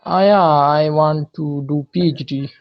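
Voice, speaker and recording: Gravelly young man on a dull call. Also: on the high side, fairly even intonation, unhurried. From Pakistan. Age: 20s. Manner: short hesitant answers, many "uh"s. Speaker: student. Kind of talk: casual conversation practice. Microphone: video call. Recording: dull lo-fi video call audio.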